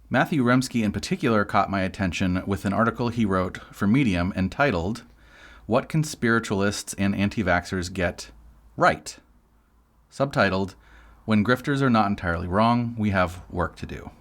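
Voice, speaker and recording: A man co-hosting a podcast in casual chat, joking and teasing his co-host as he reads out the show's business. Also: on the low side.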